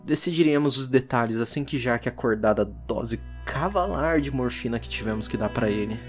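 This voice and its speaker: A performer with a Brazilian accent voicing a distraught, defiant, hunted woman occultist in a halting, emotionally charged audio drama monologue. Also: mid-range voice, close to an everyday voice. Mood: grim, resigned. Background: music.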